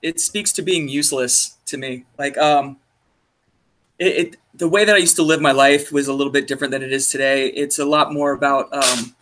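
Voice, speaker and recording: A man's voice: medium pitch, steady tone, moderate pace, fairly bright tone. A man appearing as a guest on a casual podcast, talking hesitantly with many restarts and some swearing. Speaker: podcast guest, book author.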